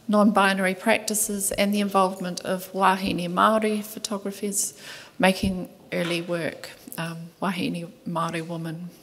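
A middle-aged woman with a New Zealand accent reading a conference paper in a soft, measured voice, from a table mic in a large lecture hall. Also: mid-range voice, slight background noise. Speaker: conference speaker, museum curator. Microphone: table microphone at panel desk.

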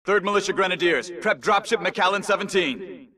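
A man voices a brisk military announcer aboard a game warship. His businesslike orders come in a high male voice, somewhat distant, with crowd noise behind. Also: close to an everyday voice. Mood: brisk, official. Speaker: video game voice actor. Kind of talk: military public address announcements.

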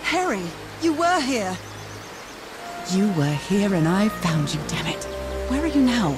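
An actress playing a wistful, curious 1930s woman explorer in a video game, thinking aloud in a gentle, articulate way. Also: mid-range voice, sing-song, measured pace, over music. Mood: anxious, hopeful. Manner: gentle, articulate, musing, wonder-struck.

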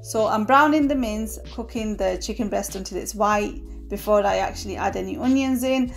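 A woman giving a relaxed, chatty voice-over of her home cooking, with fillers now and then. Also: medium pitch, moderate pace, vocal fry, faint music behind. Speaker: cooking vlogger. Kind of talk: casual voice-over narration.

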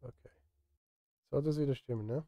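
A man's voice: mid-range voice, fairly quick, no echo, muffled recording, faint music behind. A man in his thirties chatting casually on a live stream as he works, partly to himself, close on a studio microphone. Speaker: streamer, film music composer. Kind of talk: casual live-stream chat while composing. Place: indoor home studio. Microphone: close studio microphone, headphones on.

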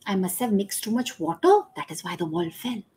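A woman's voice: medium pitch, sing-song, fairly quick, a little mellow, natural voice. A woman doing a doddering old bricklayer. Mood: pleading. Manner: anxious, rambling pleading.

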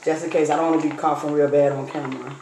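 Woman, 30s, slightly hoarse, casual chatty vlogger talking through her meal; phone on the table, echoey room, faint hiss. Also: low voice, flat delivery, fast talker, warm tone. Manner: chatty, talks while unpacking and eating food. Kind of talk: casual mukbang storytime chat. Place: dining table in a home room. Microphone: phone camera on the table.

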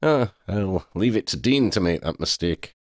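A man's voice: low-pitched, animated, moderate pace, no echo, studio quality. A man voicing a proud, lordly talking male cat in a storybook, with pompous, formal wording. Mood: lordly, playful. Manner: pompous, formal wording with Scottish turns of phrase.

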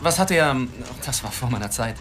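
An actor playing a cynical, offhand newspaper reporter in a radio play. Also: male voice, mid-range voice, animated, moderate pace, background noise, lightly acted. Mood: sardonic. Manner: offhand, sardonic.

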